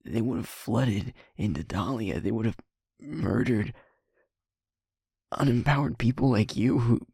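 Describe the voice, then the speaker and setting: Man playing a husky, halting young werewolf boyfriend. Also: fairly low pitch, darkish tone, no echo, studio quality, full character voice. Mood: anguished. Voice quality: breathy, husky, often falling to a whisper. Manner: halting, heavy pauses, confessional. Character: sweet young male werewolf, guilt-ridden second in command of his pack. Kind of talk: intimate audio roleplay acting.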